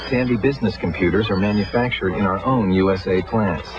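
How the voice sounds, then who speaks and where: A man giving an assured, scripted sales pitch for a commercial, in declarative statements. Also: fairly quick, very noisy, lightly acted. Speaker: TV commercial spokesman. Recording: muffled vintage TV advert with music. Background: music.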